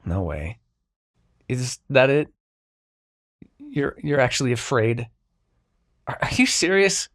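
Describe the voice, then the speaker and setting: A man playing a grumpy but reluctantly kind immortal dream-bringer in an ASMR roleplay, brusque and halting. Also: mid-range voice, wildly swooping pitch, heavily acted. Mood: exasperated, grudging. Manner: brusque, exasperated, halting, softening into concern.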